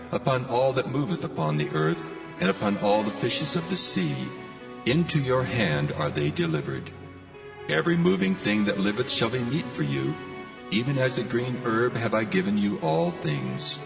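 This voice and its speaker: A man's voice: medium pitch, creaky. A man playing God in a dramatized scripture reading, solemn and commanding, declaiming archaic commands. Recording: long cavernous reverb, music underneath. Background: music.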